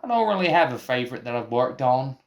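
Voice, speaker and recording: A man's voice: mid-range voice, big pitch swings, fast, close to an everyday voice. A man playing an eccentric, evasive film collaborator in a comic radio play, insistent and theatrically cryptic. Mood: matter-of-fact. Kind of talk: scripted comic mock interview.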